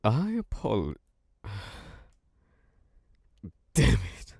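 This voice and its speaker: A hungry, predatory vampire lord, as a man plays him for an ASMR roleplay, his voice very deep and raspy with a gravelly edge. He draws every word out at an extremely slow pace, sinking into close, dry whispers right at the microphone. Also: wildly swooping pitch, no echo, exaggerated voice. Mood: craving, strained. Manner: slow, hushed, drifting into whispers. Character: obsessive, predatory male vampire lord, charming turning dominant.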